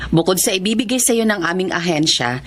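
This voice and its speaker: Composed Filipino welfare worker, played by an actress. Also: female voice, on the low side, lively intonation, a little hiss or hum, close to an everyday voice. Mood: encouraging. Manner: measured, reassuring, formal. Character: kindly, composed female welfare helper. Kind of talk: Filipino radio drama acting.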